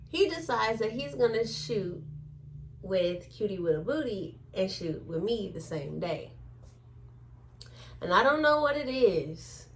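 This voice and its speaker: A woman's voice: medium pitch, a little hiss or hum. A woman telling a story in a casual chat, acting out the quoted dialogue as she goes. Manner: animated retelling with acted-out quotes.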